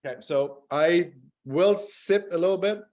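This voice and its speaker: A man's voice: sits high, unhurried, a little mellow. Man, French Canadian accent, middle-aged, wine ambassador, video call, chatty, self-correcting. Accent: French Canadian with Australian and South African traces. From Montreal, Canada. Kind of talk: wine tasting presentation.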